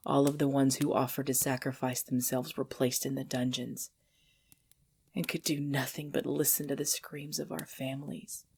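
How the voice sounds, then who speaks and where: A woman's voice: extremely low pitch, vocal fry, breathy edge, heavily acted. A woman voicing an ancient, possessive vampire lover in an intimate ASMR roleplay, speaking breathily and formally, often dropping into a whisper. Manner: slow, formal, archaic phrasing, hushed.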